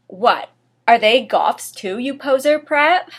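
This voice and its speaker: A woman's voice: a little high, expressive, slow pace, heavily acted. A woman voicing a bratty, hot-tempered teenage goth girl in a story reading, snappish and exclaiming. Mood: angry scorn.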